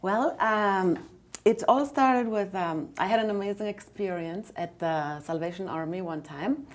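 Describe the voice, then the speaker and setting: A woman in her forties from Romania with a Romanian accent, a nonprofit founder telling her story in a studio interview, soft-spoken and hesitant with many fillers. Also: mid-range voice, measured pace.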